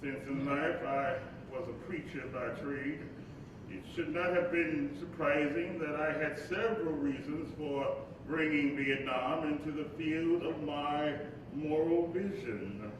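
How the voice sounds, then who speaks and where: Distant, noisy and heavily echoing hall recording of a man playing a preacher's spirit looking back on his life. He delivers solemn, deliberate stage oratory in a slow cadence. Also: fairly high pitch, close to an everyday voice. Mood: solemn, resolute. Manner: measured, deliberate, weighty phrasing. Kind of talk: staged reading, oratorical monologue.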